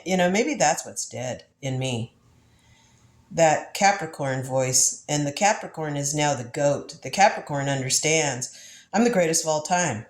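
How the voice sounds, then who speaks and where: A woman's voice: low-pitched, echoey room. A woman in her fifties, congested from the flu, musing through a tarot reading in casual spiritual talk, laughing often and repeating herself. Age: 50s. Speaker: tarot reader.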